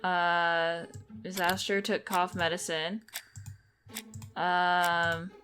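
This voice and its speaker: A woman's voice: lowish voice, flat delivery, extremely slow, sharp tone, slight background noise. A woman gamer giving casual commentary on a playthrough, musing aloud and asking herself questions.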